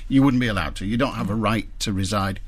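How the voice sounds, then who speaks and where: A man presenting a live morning radio interview from a studio. He talks in an animated way, often restarting his questions. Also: fairly low pitch, fast, noisy background.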